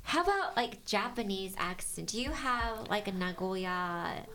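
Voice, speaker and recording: A woman's voice: mid-range voice, unhurried, soft background music. A young woman with a Texan accent co-hosting a podcast, telling stories animatedly with many "like"s and easy laughter. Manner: animated storyteller, frequent laughs, uses "like" a lot. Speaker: podcast co-host. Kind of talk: casual chat.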